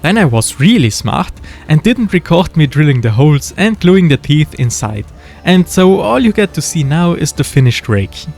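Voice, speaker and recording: German guy talking over his craft build, bit higher voice, dry jokes, soft music under it. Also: moderate pace. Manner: relaxed and wry, with dry jokes. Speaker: model-building video creator. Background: faint background music.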